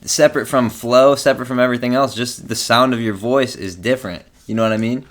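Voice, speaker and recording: A man interviewing a rapper in a relaxed, casual way, full of encouragement and fillers. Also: medium pitch, fast talker. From rural area. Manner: easygoing, affirming listener who calls the guest bro.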